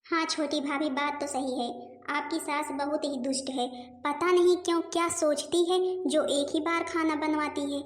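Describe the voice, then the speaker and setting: A woman's voice: high-pitched, fairly even intonation, moderate pace, close to an everyday voice. A woman voicing a nosy, gossipy village neighbour in a comedy cartoon, indignant and meddling. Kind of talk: Hindi comedy cartoon dialogue. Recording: echoey, long reverberant room sound.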